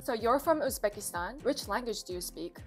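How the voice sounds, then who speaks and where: A woman's voice: fairly high pitch, animated, fairly quick, soft background music. Woman host asking casual questions. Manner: friendly, curious questioner. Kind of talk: casual interview.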